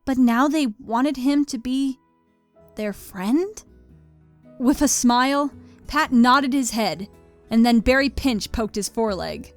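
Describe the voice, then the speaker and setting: Higher-pitched woman narrating a story. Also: slow, faint music behind. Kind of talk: scripted story narration of fan fiction.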